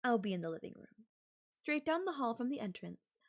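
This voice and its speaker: A woman's voice: on the high side, big pitch swings, a little fast, vocal fry, heavily acted. A woman playing a controlling, wealthy CEO in an audio roleplay, speaking in an imperious, formal and coldly condescending manner. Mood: smug, commanding. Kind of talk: ASMR audio roleplay acting.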